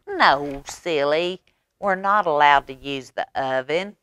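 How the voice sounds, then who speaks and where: A woman's voice: low-pitched, slow, fairly bright tone, no room sound. A woman voicing a bossy, eager little big sister from a picture book in an emphatic voice. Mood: scolding.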